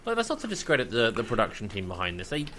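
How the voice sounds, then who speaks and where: A man's voice: on the low side, wildly swooping pitch, very fast, fairly bright tone, a little hiss or hum. A man co-hosting a podcast in casual chat, repeating and rephrasing himself for emphasis. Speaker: podcast co-host. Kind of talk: casual chat, review discussion.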